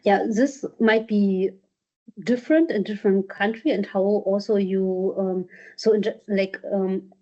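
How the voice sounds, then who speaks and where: A woman's voice: mid-range voice, unhurried, warm tone. A woman presenter answering audience questions at a workshop, hesitant with many fillers and restarts. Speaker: presenter from a culture collection. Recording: dull lo-fi conference audio.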